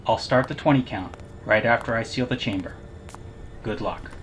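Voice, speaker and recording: Actor as sci-fi technician, middling pitch, measured, procedural, sympathetic, faint noisy ambience, audio drama. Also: lightly acted. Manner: measured, procedural, gently reassuring. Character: calm, sympathetic afterlife technician briefing a client.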